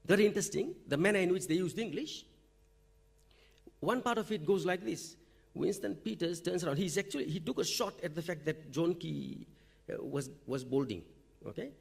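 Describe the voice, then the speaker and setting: High, gravelly-voiced man giving a conference talk. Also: moderate pace. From Fiji. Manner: conversational, frequent "okay?" check-ins. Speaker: university lecturer presenting at a teaching forum. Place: lecture hall or forum room.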